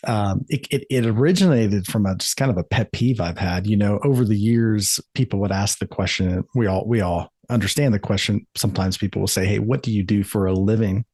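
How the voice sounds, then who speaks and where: A man's voice: on the low side, a little fast, few pauses, a little mellow, no echo. A middle-aged man from the United States, an author and life coach, answering interview questions in a thoughtful, earnest way with frequent restarts.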